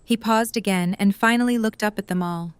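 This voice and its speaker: A woman's voice: mid-range voice, fairly quick, smooth, dry recording. A woman narrating a scripted story as the narrator of an audio drama.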